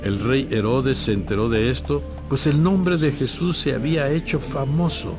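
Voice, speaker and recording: A man narrating a dramatized audio Bible from a script in solemn, clearly phrased storytelling. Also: medium pitch, moderate pace, music in the background.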